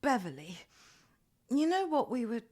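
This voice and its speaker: A British actress playing a weary, fretful agency boss in a radio play, exasperated and theatrical in manner. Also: female voice, on the high side, very animated, measured pace, darkish tone. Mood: weary, hesitant.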